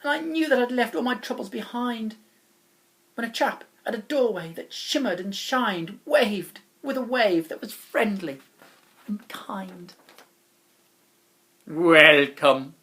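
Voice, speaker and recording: A woman's voice: fairly high pitch, a little slow. A woman reading a rhyming children's story aloud in a dramatic, emphatic manner. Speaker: storybook reader. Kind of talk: expressive rhymed storybook narration for children.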